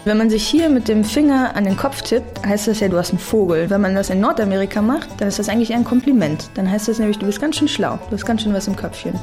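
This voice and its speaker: A woman's voice: mid-range voice, fast, few pauses, music in the background. Chatty woman explaining customs. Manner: plain and chatty.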